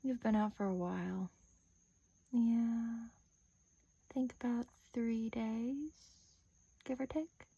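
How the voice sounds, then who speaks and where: A sinister, teasing vampire woman, acted out by a woman in a soft-spoken ASMR roleplay. Her voice is breathy and mellow, often sinking into a whisper. She speaks very slowly, toying with her captive with sadistic playfulness. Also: on the high side, fairly even intonation, no echo, lo-fi sound, exaggerated voice. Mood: pleased, unhurried. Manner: slow, teasing, with drawn-out pauses.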